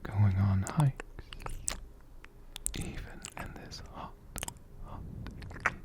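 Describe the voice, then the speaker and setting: A man voicing an ancient, gentle, affectionate dragon in soft-spoken whispered ASMR, lingering over words and softly repeating them. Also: on the low side, extremely slow. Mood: soft, soothing. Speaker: ASMR host answering viewer questions. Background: computer keyboard typing.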